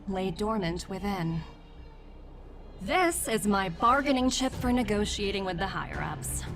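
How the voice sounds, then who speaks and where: A woman playing a confident, smooth-talking young corporate emissary in a video game, poised, persuasive and slightly condescending. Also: medium pitch. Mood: businesslike, assured. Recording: loud game music behind.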